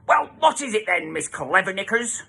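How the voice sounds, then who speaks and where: Guy doing a nasty bossy dinner lady villain from a kids book, high husky voice, scornful and vengeful. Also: sing-song, moderate pace, bright, forceful tone, full character voice. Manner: imperious, exclaiming, scornful. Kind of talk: storybook character voice.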